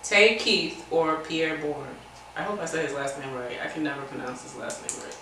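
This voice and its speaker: A woman's voice: sits low, lively intonation, moderate pace. An American woman doing a casual music interview, slangy and playfully teasing. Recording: echoey, distant mic, faint noise.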